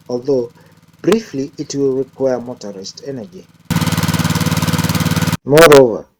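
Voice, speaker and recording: A man's voice: medium pitch, background noise. A man reading scripted voice-over narration as a reporter for a newsreel.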